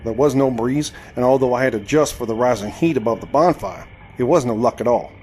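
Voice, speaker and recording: A man's voice: mid-range voice, brisk, darkish tone, natural voice. Man acting proud old-time Southern vigilante, noisy background. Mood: earnest. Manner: formal old-fashioned speech, theatrical. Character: proud, indignant Southern vigilante crossbowman of the 1870s. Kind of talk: audio drama with first-person narration.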